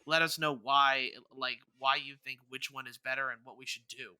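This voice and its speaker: A man co-hosting a podcast in casual chat, haltingly repeating words as he thinks aloud. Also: mid-range voice, steady tone, sharp tone, smooth, dry recording, clean studio sound. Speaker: podcast co-host.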